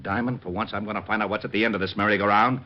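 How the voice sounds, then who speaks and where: A man's voice: fairly low pitch, steady tone, rapid-fire, background noise, lightly acted. A man playing a blustering, easily riled police lieutenant, grumbling and sputtering in old-time radio drama. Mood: exasperated, blustering. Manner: grumbling, sputtering, comically indignant. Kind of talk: 1940s-50s radio detective acting. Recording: dull, lo-fi old radio recording.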